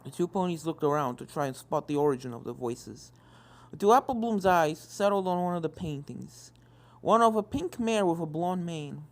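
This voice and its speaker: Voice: mid-range voice, expressive, moderate pace. A narrator reading an adventure story in scripted narration, with music playing behind. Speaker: fan fiction narrator.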